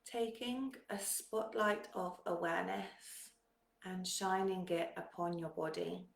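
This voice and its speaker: A woman leading a guided meditation in a calm, soothing manner, giving gentle instructions with long pauses. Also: on the low side, gravelly, roomy sound, low-quality recording.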